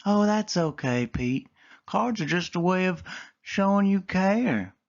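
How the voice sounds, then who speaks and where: A man's voice: sits high, lively intonation, slow, vocal fry, dull audio, voice pushed up, heavily acted. A man voicing a cheerful, friendly young girl cat in a raised voice. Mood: warm.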